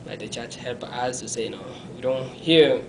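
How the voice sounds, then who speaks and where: A young man, telling his life story in an interview in a small room, halting and often correcting himself. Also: medium pitch, noisy background. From Congo. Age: young adult. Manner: halting, self-correcting, non-native phrasing; gestures with his hands while talking.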